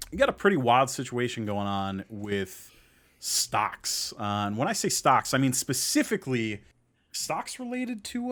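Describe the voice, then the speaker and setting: A man's voice: mid-range voice, a bit bright. A man hosting a podcast in a casual, conversational style, stressing key points by repeating them. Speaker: podcast host.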